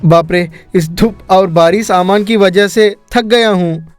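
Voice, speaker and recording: A man voicing a caring young hero in a cartoon story, speaking gently and reassuringly. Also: sits high, steady tone, a little slow, clear, resonant tone, faint music behind.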